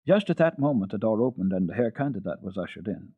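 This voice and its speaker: A man's voice: on the low side, very animated, fast talker, husky, dry recording, phone-quality sound. A man narrating classic children's literature dramatically, as a storyteller on a radio program.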